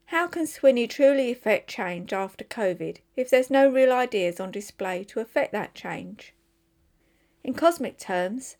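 A woman's voice: medium pitch, darkish tone. A woman volunteer reader reading a newspaper opinion column aloud for listeners.

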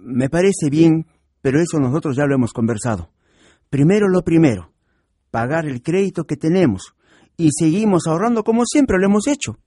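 A man's voice: on the high side, very animated, normal speed, warm tone, breathy edge, no echo, studio quality. An actor with a Peruvian accent playing a contented, hardworking middle-aged father who runs a family shop in a radio soap. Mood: earnest. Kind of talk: educational radio soap acting.

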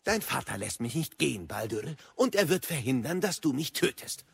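An actor playing an ancient, boastful know-it-all captive in an audio drama, eloquent and sardonic. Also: male voice, mid-range voice, sing-song, normal speed, gravelly, slight background noise. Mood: sardonic, defiant.

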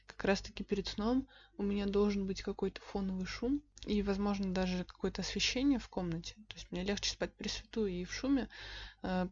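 A woman's voice: mid-range voice, darkish tone. A young woman co-hosting a podcast, talking in a casual, reflective and detailed way. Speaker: podcast co-host. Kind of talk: casual chat. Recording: dull lo-fi podcast recording.

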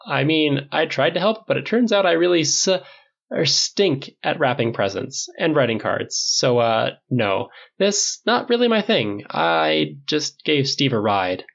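Man putting on a brash, fast-talking rich guy. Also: on the high side, sing-song, gravelly, dull audio, voice pushed up, heavily acted. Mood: awkward rambling. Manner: rambling, defensive.

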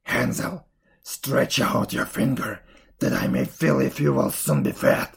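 A narrator doing a rough, creaky, wicked old witch. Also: medium pitch, fairly even intonation, unhurried, full character voice. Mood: harsh commanding. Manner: commanding, scolding, mocking. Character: wicked old witch, feigning kindness, cruel, bossy.

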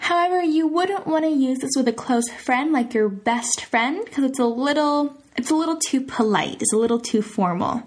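A woman's voice: sits high, animated, normal speed, hardly any pauses. A woman hosting a language lesson podcast in an informal, chatty way, sounding out example phrases carefully. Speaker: language podcast host teaching Italian phrases. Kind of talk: casual phrase lesson, conversational.

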